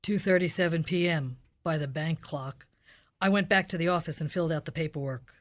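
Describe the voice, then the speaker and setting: A woman playing a deadpan, lowish-voiced lady detective. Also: fairly quick, faint music behind, close to an everyday voice. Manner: deadpan, clipped, officious. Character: deadpan hard-boiled female police art detective narrator. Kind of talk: 1950s police radio drama parody.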